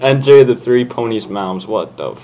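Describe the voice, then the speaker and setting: A man's voice: medium pitch, expressive, moderate pace, warm tone, muffled recording, slight background noise. A man co-reading fan fiction for comedy, casual, giggly and exasperated in his asides. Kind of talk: amused comedic read-aloud with asides.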